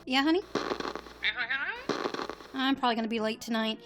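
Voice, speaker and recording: Woman as a high-voiced, dry field agent. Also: normal speed, very noisy, close to an everyday voice. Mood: casual. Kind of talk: audio drama acting.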